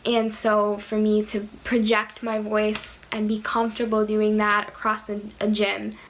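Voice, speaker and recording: A quiet-voiced woman in her twenties talking casually to camera, hesitant and full of fillers. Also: mid-range voice, monotone, measured pace, slight background noise, low-quality recording. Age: 20s. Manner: soft-spoken, hesitant with many fillers. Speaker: vlogger.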